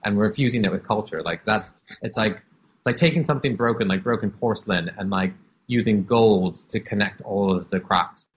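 Man in his thirties, interview guest. Very low, breathy voice. Candid, reflective storyteller. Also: a little fast. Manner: open and reflective, with frequent "um" and "like".

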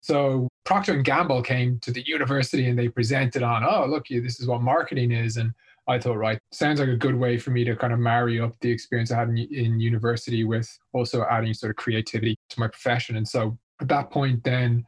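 A middle-aged man with an Irish accent talks through his working life in a reflective, measured way. His voice sits at an ordinary middle pitch, and he takes his time. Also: fairly even intonation, breathy edge. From Ireland. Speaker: guest, marketing executive. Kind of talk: casual interview answers.

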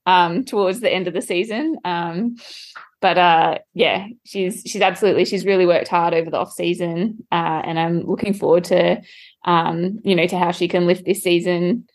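A woman with a slightly low voice answers as an interview guest. Her style is casual and conversational. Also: steady tone, moderate pace. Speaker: interview guest, club cricketer.

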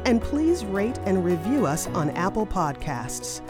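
A woman presenting and reading in a polished, expressive scripted narration style. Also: medium pitch, unhurried, over music. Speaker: presenter and reader.

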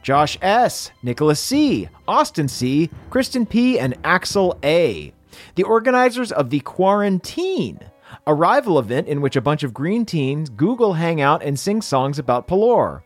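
Music plays under a man with a high voice and extremely animated delivery. He reads comedic scripted thank-you lists like a podcast host. His asides are theatrical and mock-earnest. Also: unhurried, dry recording. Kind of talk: comedic scripted shout-outs with joking asides.

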